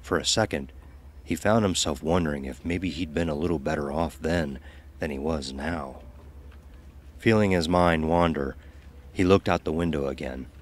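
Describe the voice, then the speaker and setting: A man narrating a scripted dark audio story. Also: very deep voice, slight background noise.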